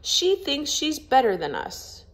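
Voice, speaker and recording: A woman's voice: high voice, wildly swooping pitch, slow pace, voice pushed up, heavily acted. A woman voicing a frank, skeptical young boy in a storybook, blunt and challenging. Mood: annoyed.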